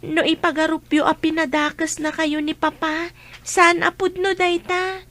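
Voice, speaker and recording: Woman doing high-pitched young filipino daughter, hesitant and respectful with her mama, radio drama. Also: level tone, measured pace, fairly bright tone, dry recording, heavily acted. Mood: nervous, hesitant.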